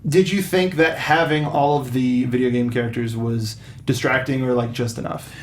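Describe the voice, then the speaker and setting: A man hosting a casual film review panel, talking in a halting way and often restarting his phrases. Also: medium pitch, steady tone. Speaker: talk show host. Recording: echoey room with faint noise.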